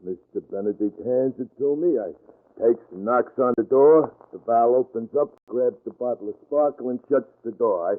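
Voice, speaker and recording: A man's voice: medium pitch, normal speed, close to an everyday voice. Man acting tough underworld muscleman, slangy, ungrammatical, cheerful, vintage radio crime drama, narrow muffled recording. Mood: plain, earnest.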